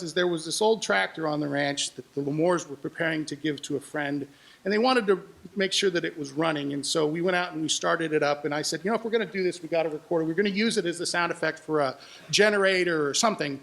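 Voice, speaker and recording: A man's voice: fairly high pitch, creaky, fairly bright tone. A man speaking as an audio producer, telling informal behind-the-scenes stories in a live presentation. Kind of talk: informal storytelling to an audience.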